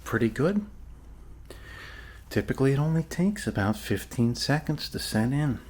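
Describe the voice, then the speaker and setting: A man's voice: medium pitch, expressive, moderate pace, darkish tone, pitched above the performer's own voice, lightly acted. A man playing a friendly doctor in an ASMR roleplay, reassuring his patient in hesitant, rambling talk with joking asides. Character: friendly rambling male doctor treating a zombie bite. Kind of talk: ASMR roleplay, improvised soft bedside talk.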